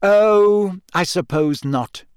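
A man's voice: fairly high pitch, sing-song, moderate pace, no echo, studio quality. A man voicing an earnest teenage schoolgirl in a storybook, prim and insistent. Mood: resigned.

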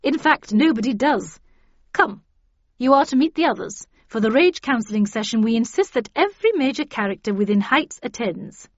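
A woman voicing an imperious, impatient older lady with a commanding, brisk and formally polite manner. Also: fairly high pitch, expressive, dry recording, dull audio.

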